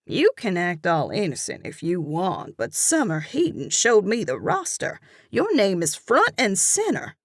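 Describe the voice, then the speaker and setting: A woman's voice: medium pitch, wildly swooping pitch, unhurried, few pauses, no room sound, clean studio sound, full character voice. A woman putting on a brassy Southern cashier. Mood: gossipy. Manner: loud, chummy and teasing. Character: brassy middle-aged Southern woman cashier, nosy gossip.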